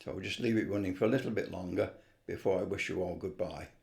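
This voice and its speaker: A man's voice: sits low, quick pace, creaky, a little mellow. A man giving a casual, unscripted screen tutorial, rambling a little and muttering to himself as he works. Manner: unscripted, rambling, talks to himself while working. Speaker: amateur video presenter, CB radio hobbyist.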